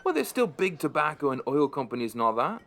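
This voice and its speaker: A man acts as a middle-aged pub landlord narrating his own tale in a radio play. His fairly high male voice is dry and understated, with the wry air of an unimpressed storyteller. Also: sing-song, a little fast, fairly bright tone. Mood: curious, dry.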